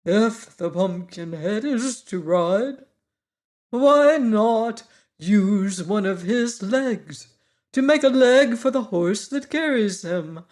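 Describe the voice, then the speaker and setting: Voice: mid-range voice, animated, slow, darkish tone, voice pushed up. A reader voicing a pompous, learned male insect who lectures in grand, formal phrases. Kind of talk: audiobook character voice.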